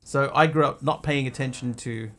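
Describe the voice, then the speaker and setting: A man's voice: mid-range voice, moderate pace, fairly bright tone. Rambling man chatting on a stream. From Australia. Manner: rambling, hesitant with many ums and restarts. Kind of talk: casual live-stream chat.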